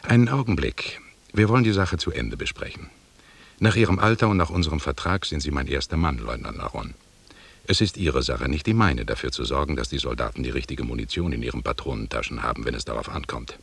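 An actor playing an imperious, condescending expedition leader in a German radio drama, lecturing deliberately in a gravelly, husky voice. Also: male voice, extremely low pitch, heavily acted. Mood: stern, lecturing.

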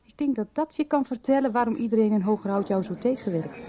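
A woman's voice: on the high side, a crowd in the background, natural voice. An actress playing an earnest young woman confiding discoveries in naturalistic radio soap dialogue. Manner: confidential, deliberate.

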